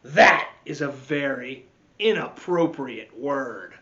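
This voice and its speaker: A man playing a stern, indignant school recess supervisor in a storybook, scolding loudly and emphatically. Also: medium pitch, expressive, slow, muffled recording.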